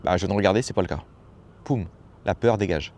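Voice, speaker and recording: Low-voiced guy talking fast, coaching folks on fear and failure, slangy, repeats stuff to make his point. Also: a little mellow, slight background noise. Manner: familiar, slangy, repeats phrases for emphasis. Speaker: personal development coach. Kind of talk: casual motivational talk to camera.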